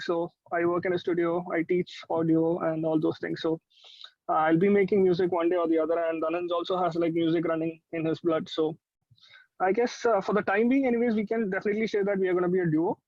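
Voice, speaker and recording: A man's voice: high voice, a little mellow, no echo. A man in his twenties with an Indian accent, chatting casually as a musician guest in an interview in a loose, hedging way. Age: 20s. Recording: dry, dull lo-fi call sound.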